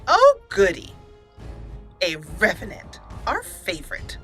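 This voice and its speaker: A cheerful woman commentating her own game playthrough, chatty and upbeat, now and then reading out the game's text as a story. Her voice is bright and forceful, with faint game music playing softly behind her. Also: medium pitch, wildly swooping pitch, takes it slowly. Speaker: let's play host. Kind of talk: casual gameplay commentary with roleplay narration.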